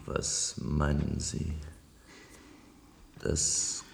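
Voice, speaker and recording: An actor playing a groggy colonel aboard a spaceship in a science-fiction radio play. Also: male voice, rumbling low, monotone, extremely slow, vocal fry, a little hiss or hum, exaggerated voice. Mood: groggy, puzzled.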